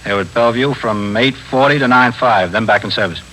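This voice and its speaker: An actor playing a deferential desk sergeant in a 1950s radio police drama, clipped and matter-of-fact. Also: male voice, medium pitch, fairly quick, a bit bright, close to an everyday voice. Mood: dutiful, brisk. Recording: faintly noisy 1950s radio broadcast.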